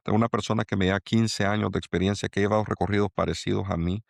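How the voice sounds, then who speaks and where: A middle-aged man from El Salvador with a Salvadoran accent, speaking as a guest in an interview and pausing to restart his thoughts. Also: lowish voice, steady tone, vocal fry, no room sound, clean studio sound. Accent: Salvadoran Spanish. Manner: halting, many restarts and fillers. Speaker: angel investor guest. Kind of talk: reflective interview talk.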